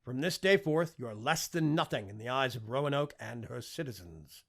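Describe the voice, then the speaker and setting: Audiobook king, acted by a male narrator: commanding, formal, forceful, husky voice with big pitch swings. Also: lively intonation, moderate pace. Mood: stern, angry. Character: commanding, confident king passing stern sentence. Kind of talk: audiobook character dialogue.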